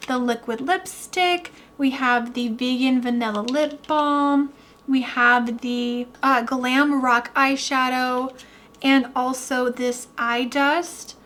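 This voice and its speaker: Young woman w a high voice doing a makeup unboxing, kinda hoarse, losing her voice, super gushy. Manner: gushing, excited. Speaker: beauty vlogger. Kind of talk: product unboxing vlog.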